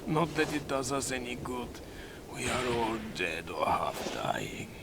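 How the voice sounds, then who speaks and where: Slow, weak dying soldier. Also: male voice, mid-range voice, very animated, vocal fry, very noisy. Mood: bitter, weary. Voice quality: weak, strained. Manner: laboured, fatalistic. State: weak, bleeding to death. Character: dying Egyptian soldier, bitter, despairing, devout. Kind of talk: video game voice acting.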